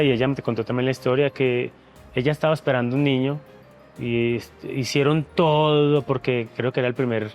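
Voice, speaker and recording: A man, speaking in an emotional interview in an earnest, reflective way and repeating words as he searches for them. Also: mid-range voice. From Venezuela, raised in Colombia. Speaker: interview guest, former reality show contestant.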